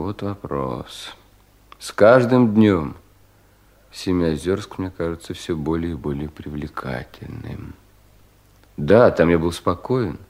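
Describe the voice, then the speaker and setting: A young government official in a vintage Russian audio play, brooding and full of self-doubt. The voice sits fairly low. He questions himself theatrically, swelling with agitation and sinking into hushed weariness. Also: heavily acted. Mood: weary. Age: young adult.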